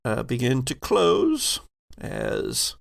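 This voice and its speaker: A man improvising narration as game master of a tabletop role-playing game, halting as he searches for words. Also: medium pitch, very animated, gravelly.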